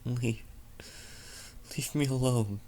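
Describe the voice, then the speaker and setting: A man's voice: mid-range voice, slow, a little hiss or hum, voice pushed up, natural voice. Man reading as a lonely winged unicorn princess. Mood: tearful pleading. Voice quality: soft. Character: lonely, distraught winged unicorn princess, female, shyly curious.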